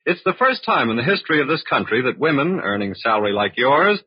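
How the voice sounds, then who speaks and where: 1940s radio pitchman, very raspy, swings up and down a lot, polished sales talk. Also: sing-song, quick pace, dry recording. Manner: emphatic, polished salesman delivery. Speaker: radio announcer and sponsor spokesman. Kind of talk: 1940s scripted radio announcing and advertising. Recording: muffled old radio broadcast recording.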